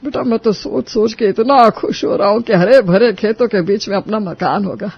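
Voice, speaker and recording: Male actor as elderly sasur: very high voice, stubborn yet gullible, emphatic, cartoon storytelling, slightly muffled audio. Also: normal speed, vocal fry, slightly rough, no room sound, full character voice. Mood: cheerful, bossy. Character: stubborn, gullible old father-in-law of farming family. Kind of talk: animated Hindi moral story dialogue.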